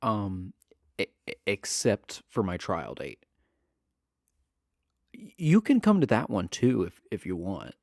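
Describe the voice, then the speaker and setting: A man acts out an adorkable jailed villain in an intimate romance audio roleplay. His low voice has a gravelly creak and moves slowly, with nervous, halting stammers and an earnest, smitten tone. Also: wildly swooping pitch, darkish tone, no echo, clean studio sound, exaggerated voice. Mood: flustered, eager. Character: shy, adorkable imprisoned male villain, smitten with pen pal.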